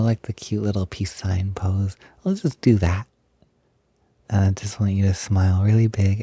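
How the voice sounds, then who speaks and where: A man playing an affectionate, smitten young roommate in an intimate roleplay audio, talking in a soft, breathy, gently hesitant and playful way. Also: lowish voice, dry recording. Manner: gentle, hesitant, giggly, softly doting. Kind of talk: intimate roleplay audio, casual improvised-sounding chat.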